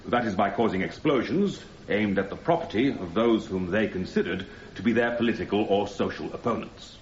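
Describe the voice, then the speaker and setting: An actor playing a grave, methodical British prosecuting barrister in a radio drama, speaking formally and deliberately. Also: male voice, fairly low pitch, close to an everyday voice. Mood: grave, assertive. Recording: roomy, slightly dull sound, faint noise.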